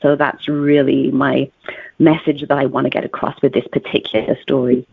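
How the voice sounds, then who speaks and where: Phone-like audio, dry sound, woman, expressive storyteller, author interview guest. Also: a bass voice, normal speed, gravelly, breathy edge, no room sound.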